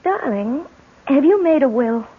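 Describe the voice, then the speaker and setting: A woman's voice: high-pitched, expressive, measured pace. A woman playing an anxious, haunted young wife who speaks hesitantly in a 1970s radio drama. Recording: tinny, hissy 1970s radio broadcast.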